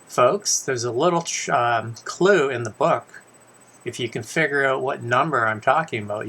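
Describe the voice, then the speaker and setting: A man with a bright, forward voice reads a children's story in the first person. His pitch sits in an ordinary middle range. He keeps it conversational, stepping out for casual asides and correcting himself as he goes. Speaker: author reading his own children's book aloud.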